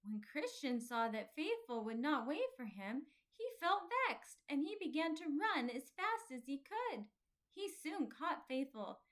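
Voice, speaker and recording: A woman reading a children's storybook aloud as an expressive scripted narration. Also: a little high. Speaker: children's audiobook reader.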